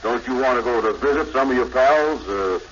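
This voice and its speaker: A man acting a naive, slow-witted, lovestruck suitor with folksy, ungrammatical speech in a 1950s radio sitcom. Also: medium pitch, a bit bright, natural voice. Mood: flustered, jealous. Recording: very noisy, dull vintage radio broadcast.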